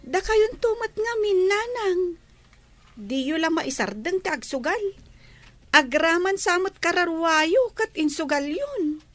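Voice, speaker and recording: An actress with an Ilocano accent playing an outspoken, reproachful young student daughter in a radio drama, scolding and complaining. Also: female voice, very high voice, expressive, slow pace, exaggerated voice.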